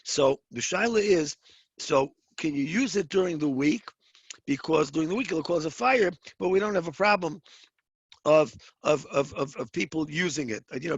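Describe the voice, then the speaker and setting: A middle-aged rabbi teaching a Talmud class, explaining step by step with many restarts and Hebrew terms mixed in. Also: male voice, on the high side, animated, normal speed, husky, no echo, highs cut off. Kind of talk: informal Talmud lecture.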